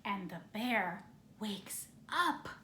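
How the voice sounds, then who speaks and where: A woman reading a picture book aloud expressively, with gentle, playful, drawn-out phrasing and casual asides. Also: mid-range voice, lively intonation. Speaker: storybook reader. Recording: roomy echo, some background noise.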